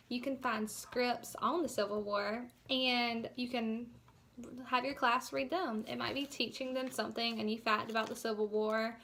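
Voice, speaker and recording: A woman's voice: fairly high pitch, a bit bright, slight background noise. A young woman giving an informal instructional presentation as a student teacher, explaining things a little hesitantly.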